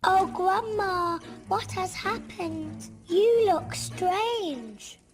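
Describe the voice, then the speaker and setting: A sweet little girl from a storybook cartoon, in a very high voice. She talks slowly and with innocent wonder, over soft music. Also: exaggerated voice. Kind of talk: children's cartoon storybook acting.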